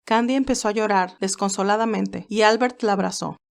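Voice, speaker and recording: A woman storyteller with a mid-pitched voice narrates a romantic tale. Her delivery is scripted narration, in the manner of an audio novel. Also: steady tone, a little fast, gravelly.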